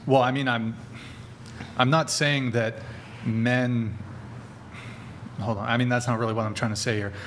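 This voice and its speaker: A man hosting a podcast panel, joking and hesitant, with lots of fillers, speaking into a desk mic in a bright studio. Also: medium pitch, steady tone, a little slow, long pauses, a little hiss or hum. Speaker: podcast host. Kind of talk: casual panel chat. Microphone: desk mic on arm.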